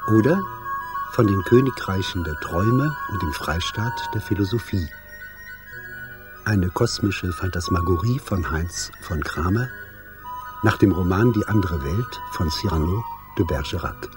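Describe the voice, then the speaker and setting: Casting: man with a deep voice for dramatic, declaimed radio drama titles over clear music. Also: lively intonation, a little slow. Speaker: announcer.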